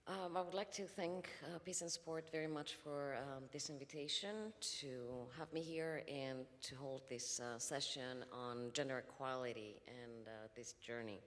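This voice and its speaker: A woman from Greece speaks as a panelist at a conference, in a measured way with frequent ums and uhs. Her voice sits low. Long reverb from a large hall trails each phrase. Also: a bit bright.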